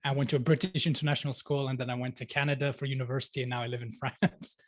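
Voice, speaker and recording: Young man, casual podcast guest, talkative, lots of "like", mid pitch, dry close recording. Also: level tone, racing, no room sound. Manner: chatty, fills talk with "like", laughs easily. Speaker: podcast guest, sociologist and life coach. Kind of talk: casual interview chat.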